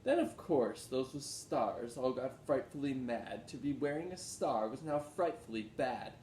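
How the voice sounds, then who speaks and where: A man with a mid-pitched voice reads a storybook aloud with expressive, rhythmic delivery. The room is echoey, with a faint rumble behind him. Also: a little slow, distant mic. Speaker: teacher reading aloud. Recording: roomy echo with background rumble noise.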